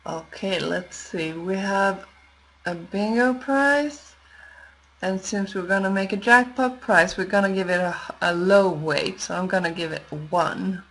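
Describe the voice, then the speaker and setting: Slow-paced woman giving a software tutorial. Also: mid-range voice, low-quality recording. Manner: measured, explanatory, pausing as she works. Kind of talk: unscripted step-by-step screen tutorial narration.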